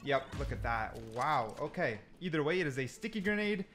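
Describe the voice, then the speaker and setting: Male gaming host, lively swooping delivery, slightly high voice, casual commentary that repeats for emphasis, light music underneath. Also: fairly quick. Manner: animated, repeats phrases for emphasis.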